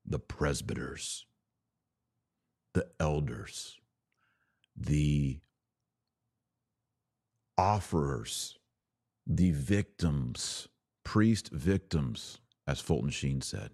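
A man hosting a religious podcast, preaching in an earnest, emphatic way with deliberate, broken-up phrasing. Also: rumbling low, lively intonation, a little mellow, no echo, studio quality. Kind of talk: preachy video commentary.

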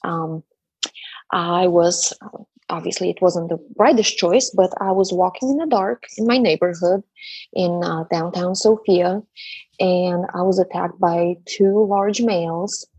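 A woman with a Bulgarian accent speaks with a slightly husky, breathy voice. She chats as a guest, with frequent fillers and laughs. The sound is dry and close. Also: on the low side, unhurried, mellow, dry recording, low-quality recording. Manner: chatty, laughs often, hesitant fillers. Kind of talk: conversational interview.

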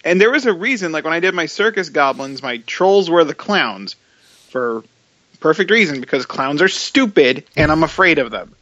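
A man's voice: a little high, lively intonation, moderate pace, pushed and bright, dry recording. A man co-hosting a casual podcast, joking with many fillers. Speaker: podcast co-host. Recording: dull, lo-fi mic.

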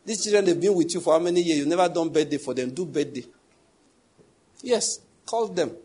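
Husky pastor preaching emphatically. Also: male voice, fairly high pitch, animated, brisk, a little mellow. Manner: emphatic, repeats key phrases, asks the congregation questions. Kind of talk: sermon.

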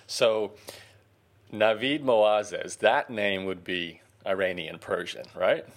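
A man's voice: lowish voice, slow pace. A man hosting a podcast, chatting casually in a friendly, rambling way with frequent restarts. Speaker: podcast host. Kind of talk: casual interview chat.

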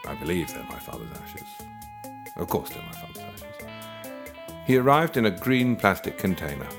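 Very deep-voiced man narrating. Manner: dry, wry storytelling. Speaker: writer reading his own story. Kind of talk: scripted first-person narration. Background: guitar music.

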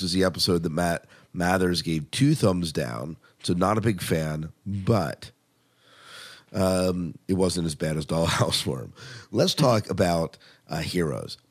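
A man hosting a podcast, chatting casually and conversationally about television shows. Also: low voice. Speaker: podcast host.

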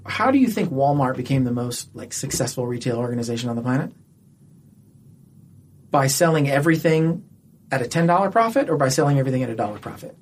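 A man's voice: medium pitch, lively intonation, quick pace, a little hiss or hum. A man in a casual podcast discussion, making his case with rhetorical questions and little acted-out quotes. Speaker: podcast guest or co-host.

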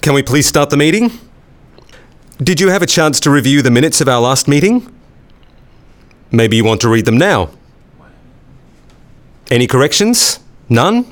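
An actor playing a businesslike department head chairing a meeting in a radio play, brisk, procedural and officious. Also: male voice, mid-range voice, sing-song, natural voice.

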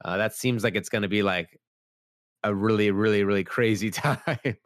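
A man's voice: fairly low pitch, moderate pace, no room sound, studio quality. A young man hosting a casual chat, rambling with lots of fillers, his voice a little worn after being sick. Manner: rambling, many "like" fillers, acts out quoted lines. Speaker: podcast host.

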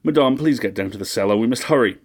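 A man's voice: medium pitch, fast talker, slightly rough, lightly acted. A man voicing a harried, dutiful male butler who speaks with strained, urgent politeness.

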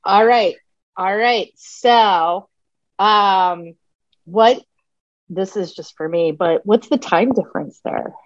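A woman's voice: mid-range voice, takes it slowly, no echo, muffled recording. A woman hosting a podcast interview, chatty and casual, laughing easily. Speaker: podcast host.

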